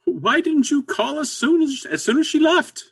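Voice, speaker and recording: A man's voice: extremely high pitch, very animated, normal speed, gravelly, heavily acted. A man playing a concerned, busy businessman friend in a stage comedy, heard away from the microphone. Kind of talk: stage comedy readers theater acting.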